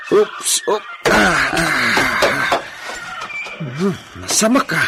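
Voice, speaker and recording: An excitable Ilocano man played by a male actor in a radio drama, muttering and moaning to himself in slow, drawn-out speech. His voice sits fairly high, with prominent music behind it. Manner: muttering to himself with moans and exclamations.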